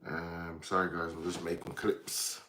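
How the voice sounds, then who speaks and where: A man with a low voice and an Irish accent talks casually to his livestream viewers. He drifts between thoughts in a rambling, distracted way, breaking off to address people in the chat. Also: monotone, unhurried, bright, forceful tone, a little hiss or hum. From Ireland, from Dublin. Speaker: livestream host.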